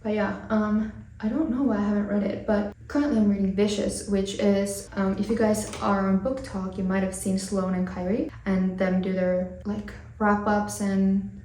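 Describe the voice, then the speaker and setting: A woman's voice: mid-range voice, fairly even intonation, moderate pace, mellow, reverberant, recorded from a distance, low-quality recording. A woman in her twenties from Finland, chatting casually and rambling with fillers while doing her makeup for a vlog. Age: 20s. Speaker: vlogger. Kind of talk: chatty get-ready-with-me vlog.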